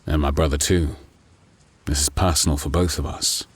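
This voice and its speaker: Male actor as vengeful undercover cop, low-pitched, husky, terse, dry, resolute, audio drama. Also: fairly even intonation, normal speed, no room sound. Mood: grim, determined. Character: determined undercover detective seeking vengeance, wry.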